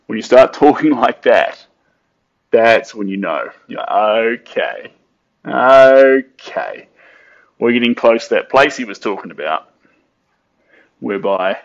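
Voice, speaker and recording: A man's voice: lowish voice, vocal fry, highs cut off. A man, chatting casually to his viewers while he paints. He stays upbeat and talkative and drifts into snatches of song. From New Zealand. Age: late 20s. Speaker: artist streaming while painting. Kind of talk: casual live-stream chat while painting.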